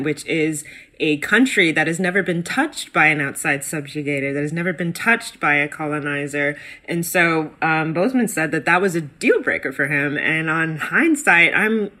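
A woman co-hosting a casual podcast, talking in an animated way with many fillers and sudden rises in pitch when excited. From United States. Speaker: guest co-host.

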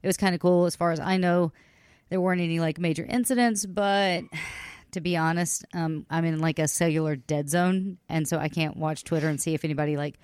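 A woman's voice: sits low, steady tone, brisk, a little mellow, clean tone, no room sound. A woman hosting a podcast in casual, chatty talk, teasing and giggling often. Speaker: podcast host.

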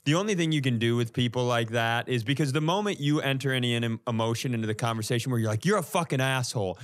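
A man's voice: mid-range voice, quick pace. Wry man in casual podcast banter. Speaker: comedy podcast co-host.

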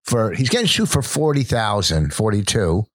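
A very deep-voiced older man talks in a loose, rambling way, recounting stories with false starts and filler. The sound is close and dry, with no room around it. He comes across as a working comedian in casual conversation. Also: sing-song, normal speed, no room sound. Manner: rambling storytelling with many restarts. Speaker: stand-up comedian co-hosting a podcast. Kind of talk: casual chat.

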